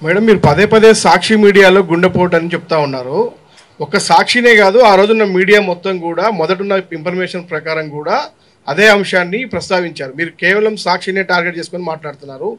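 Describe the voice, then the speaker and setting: Male reporter, press conference. High pitch, rapid delivery, challenging and persistent. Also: a bit bright. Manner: persistent, challenging, repeats his question.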